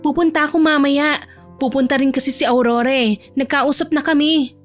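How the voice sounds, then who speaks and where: A woman's voice: high-pitched, fairly even intonation, brisk, soft background music, voice pushed up, lightly acted. A woman voicing a cheerful, caring young granddaughter who speaks politely and affectionately in an audio drama. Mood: breezy. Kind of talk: Tagalog audio drama acting.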